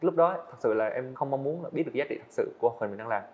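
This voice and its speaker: Eighteen-year-old man, fast and earnest, muffled lo-fi podcast audio. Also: mid-range voice, expressive, slight background noise. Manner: earnest, candid, hesitant restarts. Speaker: guest animator. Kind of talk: podcast interview storytelling. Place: indoor studio set. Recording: dull lo-fi sound.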